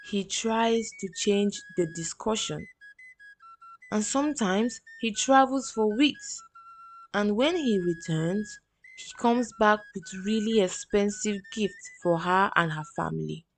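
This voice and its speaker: A woman with a Nigerian accent narrates a scripted folktale at an unhurried, slow pace. Soft music plays faintly behind her storytelling. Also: mid-range voice.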